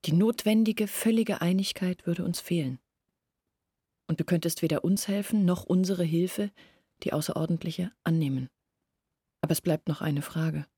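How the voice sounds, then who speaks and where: An actress in a German radio drama playing an earnest, anguished young woman who confides her family's hardship in a deliberate, self-reproachful way. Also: fairly low pitch, warm tone, husky, no room sound, clean studio sound. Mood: confiding, troubled.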